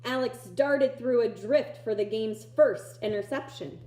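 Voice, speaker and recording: A woman reading a children's picture book aloud in an expressive storytelling style. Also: on the high side, a little slow. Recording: echoey room.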